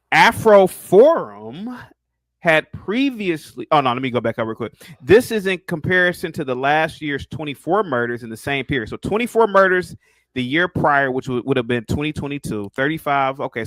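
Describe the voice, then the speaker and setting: A man's voice: mid-range voice, lively intonation, normal speed, a bit bright, no echo. Mocking American man, dry close sound. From Ohio, United States. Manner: mocking, teasing, reads text aloud and comments. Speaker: stream host. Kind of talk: casual debate stream.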